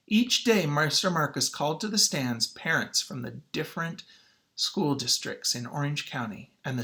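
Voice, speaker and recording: A male teacher reads a story aloud to students with very lively intonation. His voice is bright and a little gravelly, and he phrases things emphatically, pausing for drama. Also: on the high side. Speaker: teacher reading a picture book aloud to students. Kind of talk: expressive children's read-aloud.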